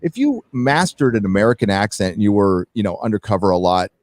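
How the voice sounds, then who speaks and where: A man's voice: on the low side, very animated, quick pace. A man hosting a casual film discussion, blunt and swearing often in his talk. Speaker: livestream host.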